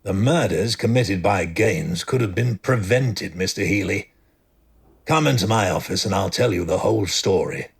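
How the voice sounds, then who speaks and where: Deep, gravelly, solemn asylum doctor played by a man. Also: moderate pace, exaggerated voice. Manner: solemn, ominous. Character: grave male asylum doctor telling a grim case. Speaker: doctor and narrator. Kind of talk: dramatic horror storytelling.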